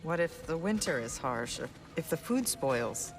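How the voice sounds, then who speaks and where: A woman's voice: sits low, sing-song, unhurried, faint music behind, poor audio. An actress playing a dignified noble queen in a game, stern but caring, with dry wit. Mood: firm. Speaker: leader of refugee people.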